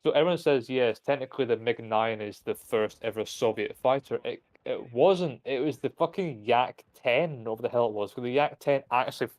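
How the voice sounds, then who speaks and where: A man's voice: mid-range voice, a little fast, few pauses, a little mellow. A young man streaming a game, rambling in casual chat with frequent swearing and restarts. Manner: rambling, profane, full of restarts.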